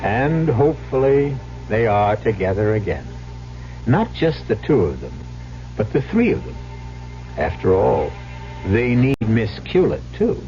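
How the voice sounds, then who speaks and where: A man's voice: mid-range voice, very animated, unhurried, mellow. A man hosting an old radio mystery series with wry, tongue-in-cheek scripted narration. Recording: dull old radio broadcast. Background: music.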